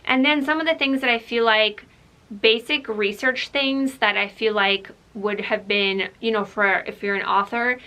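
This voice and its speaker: A woman's voice: on the high side, fairly even intonation, unhurried, bright, forceful tone, clean tone. A woman from Ukraine whose first language is Russian, chatting casually to camera as a book vlogger, rambling with lots of "like" and "um".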